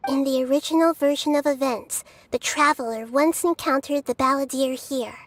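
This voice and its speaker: A woman playing a calm, solemn storyteller in a video game, composed and deliberate. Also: high-pitched, slight background noise, close to an everyday voice. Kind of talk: video game voice acting, narration. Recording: music behind the voice.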